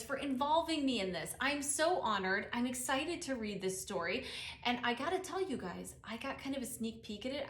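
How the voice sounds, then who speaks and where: A woman's voice: mid-range voice, reverberant. A woman speaking as a guest author, giving an upbeat introduction to camera before a reading. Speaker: children's author, guest story reader.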